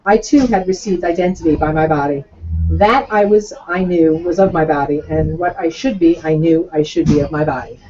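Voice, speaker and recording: Mellow-voiced Brooklyn woman reading poetry on a call. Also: deep voice, normal speed, lo-fi sound. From Brooklyn, New York. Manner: warmly agreeable, reads poetry steadily. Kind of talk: casual chat and poetry reading.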